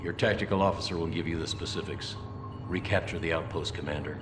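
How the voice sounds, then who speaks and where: A hard-edged colonel acted by a man briefs troops in a military game. His voice sits low, with clipped, commanding delivery and a suspicious temper, over some background music. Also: fairly even intonation, fast talker, reverberant, close to an everyday voice. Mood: stern, commanding. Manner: curt, authoritative, clipped orders. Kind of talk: game mission briefing acting.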